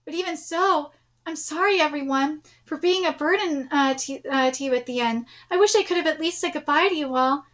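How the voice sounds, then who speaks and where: A woman voicing a gentle, humble grandmother from a manga, speaking in a polite, halting, pleading way. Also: high-pitched, muffled recording. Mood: sorrowful, grateful.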